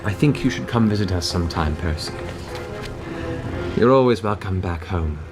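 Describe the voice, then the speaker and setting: A man voicing a sly aristocratic villainess in a tabletop game, soft and mock-cordial, with music behind. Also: sits low, expressive, moderate pace. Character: sly aristocratic villainess, silky and menacingly polite. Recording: music under the voice.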